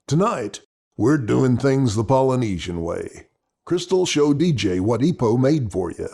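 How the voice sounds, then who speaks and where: A man voicing a gruff but loving army captain who gives orders, in an audiobook. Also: mid-range voice, sing-song, measured pace, dark tone, heavily acted. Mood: commanding. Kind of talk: audiobook character dialogue.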